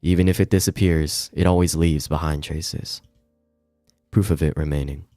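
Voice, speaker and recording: Really deep voiced guy reading a visual novel on stream, casual, stumbles a bit, soft music under it. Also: steady tone, moderate pace, clean studio sound. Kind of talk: casual livestream read-along with reactions. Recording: roomy echo, music behind.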